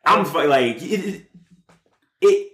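A man's voice: a little high, slow pace. An American man casually talking music as a podcast host, telling it in slangy, profane language. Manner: slangy, profane, animated storytelling. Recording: roomy, echoey room.

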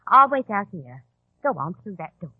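Husky chatty go-between, old radio. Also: female voice, medium pitch, very animated, moderate pace, no echo. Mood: nervously reassuring. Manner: informal, reassuring, hesitant asides. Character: chatty, devoted go-between of a secret society. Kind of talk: 1940s radio acting. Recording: tinny, band-limited old radio broadcast.

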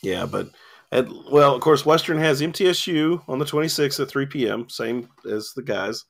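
Gravelly man rambling through sports scores. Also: medium pitch, lively intonation, normal speed, fairly bright tone. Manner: rambling, lots of ums and filler. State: losing his voice, coughing. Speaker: sports talk host. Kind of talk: casual sports radio chat. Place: radio studio. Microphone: studio mic with cough button.

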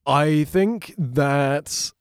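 A man's voice: fairly high pitch, very slow, clear, resonant tone, no room sound, studio quality. A man appearing as a podcast guest, talking enthusiastically in a casual review conversation.

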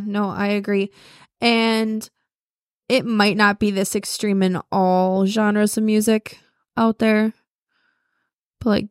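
A young woman co-hosts a podcast in a loose, chatty way, scattering "like" through her sentences. Her voice sits at a middle pitch. It is recorded dry and close, with no room sound. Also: smooth, dry recording. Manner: chatty, lots of "like". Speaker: podcast co-host. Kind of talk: casual chat.

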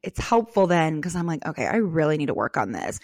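A woman's voice: low-pitched, fast, dry recording, studio quality. A middle-aged woman co-hosting a podcast, talking candidly and casually, acting out bits of remembered conversation as she goes. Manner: candid, animated, quotes imagined dialogue. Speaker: podcast co-host.

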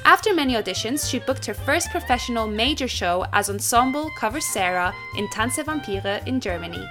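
An upbeat woman hosts a podcast and welcomes her guest. Her voice sits a little high, and music plays clearly behind her. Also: animated, fairly quick. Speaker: podcast host. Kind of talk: scripted introduction then casual interview chat.

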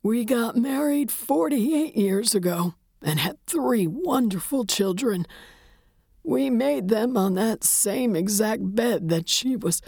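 A woman voicing a desolate, bony old widower in shock, with a cracked, whispery voice and halting, tearful delivery, in an audiobook story. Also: on the high side, expressive, vocal fry, a little mellow, slightly rough, no echo, studio quality, pitched above the performer's own voice, exaggerated voice. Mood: grieving, wistful. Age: older.

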